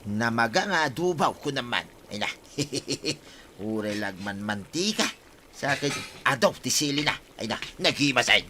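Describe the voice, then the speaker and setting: A man's voice: mid-range voice, lively intonation, moderate pace, noisy background, natural voice. A man acting an easygoing, mildly scolding village husband with an Ilocano accent in an audio drama. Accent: Filipino, Ilocano.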